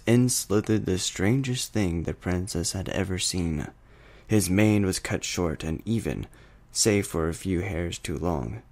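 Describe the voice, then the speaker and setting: A man's voice: deep voice, a little mellow. A man reading a fantasy story aloud as scripted narration.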